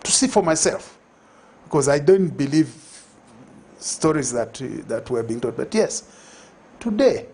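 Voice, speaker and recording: A man's voice: on the high side, big pitch swings, a little slow, a little mellow, slightly rough. An older man with a Zambian accent, a guest in a TV studio interview, talking reflectively with many fillers and restarts. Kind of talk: conversational TV interview. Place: TV studio set. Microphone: lapel.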